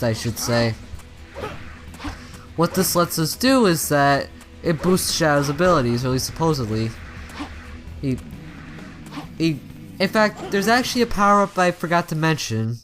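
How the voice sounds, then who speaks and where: A man's voice: fairly high pitch, animated, unhurried, pauses often, over music. A man giving casual let's play commentary, rambling and often stumbling and rephrasing as he goes. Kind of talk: casual video game commentary.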